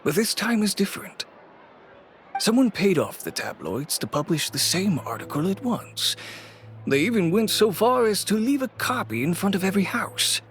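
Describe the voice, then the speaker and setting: A man playing a courteous, weary and wry merchant in a video game, speaking in a measured, creaky voice. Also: high-pitched, big pitch swings, slight background noise. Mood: weary, grave.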